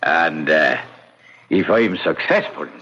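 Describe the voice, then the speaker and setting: A man's voice: very deep voice, expressive, takes it slowly, bright, forceful tone, husky, heavily acted. An actor playing a sly old Irish ghost uncle in a radio drama, wheedling and impatient, repeating his words. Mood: sly, coaxing. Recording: noisy dull old radio recording.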